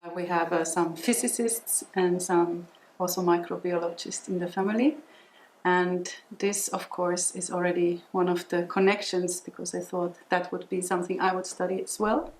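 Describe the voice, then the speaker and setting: A visual artist woman from northern Finland, talking thoughtfully in an interview, pausing often with fillers. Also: on the low side, steady tone, echoey room, poor audio. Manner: thoughtful, hesitant with many fillers. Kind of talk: documentary interview.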